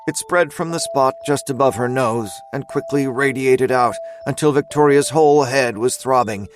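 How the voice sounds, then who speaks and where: A man's voice: mid-range voice, normal speed, background noise, natural voice. A man narrating a scripted audio drama as its storyteller.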